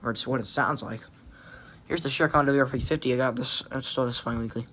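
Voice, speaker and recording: A man's voice: medium pitch, steady tone, fairly quick, a bit bright, a little hiss or hum. A man giving a casual show-and-tell vlog in a rambling, offhand, sweary way, recorded on a handheld phone. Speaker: hobbyist presenting a radio collection. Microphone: phone held in hand.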